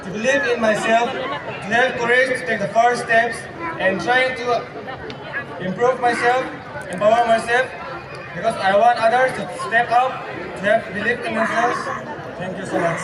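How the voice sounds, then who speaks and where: Man, twenty, earnest loud contestant intro, very high voice, echoey far-off PA, crowd roaring. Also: steady tone, normal speed. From Assam, India. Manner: earnest, declaiming loudly. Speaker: pageant contestant. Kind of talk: rehearsed self-introduction.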